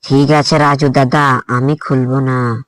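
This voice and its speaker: Guy doing a little cartoon boy, timid n eager to obey, sharp highish voice, slight noise. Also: little pitch movement, a little slow, lightly acted. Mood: obedient.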